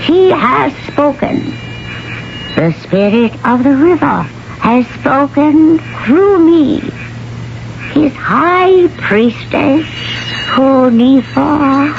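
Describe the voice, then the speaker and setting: A woman playing an old sorceress and river priestess who speaks slowly and in a chanting, archaic way, in a 1970s radio drama. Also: high voice, animated, creaky, a little hiss or hum, heavily acted. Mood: solemn. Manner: slow, chanting, archaic speech. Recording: muffled 1970s radio broadcast with music.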